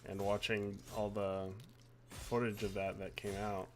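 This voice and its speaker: A hoarse man with a groggy voice, co-hosting a live game stream, chatting casually and reading out viewer comments. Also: fairly low pitch, flat delivery, a little slow. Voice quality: groggy, gravelly. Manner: reads viewer comments aloud. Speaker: stream co-host. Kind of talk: casual gaming chat.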